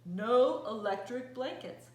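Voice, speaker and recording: A woman voicing a hot-tempered male farmer from a picture book, exclaiming with loud, indignant emphasis. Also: fairly high pitch, animated, slow. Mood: indignant refusal. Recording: roomy echo, faint background noise.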